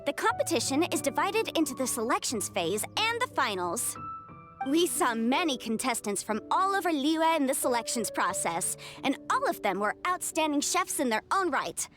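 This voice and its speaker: A woman's voice: high voice, sing-song, moderate pace, a bit bright, soft background music. A woman voicing a confident young contest host in an anime video game, with formal announcer delivery and showy flourishes. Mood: formal, proud.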